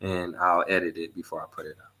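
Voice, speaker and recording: Flat, bright-toned male podcast host. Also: sits low, fast talker, rough recording. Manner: polite, formal, courteous. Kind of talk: interview hosting.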